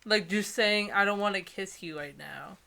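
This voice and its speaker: A woman's voice: medium pitch, normal speed, creaky, pushed and bright. A young adult woman co-host giving wry, incredulous commentary in a casual, mocking chat. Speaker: co-host commenting on bad books.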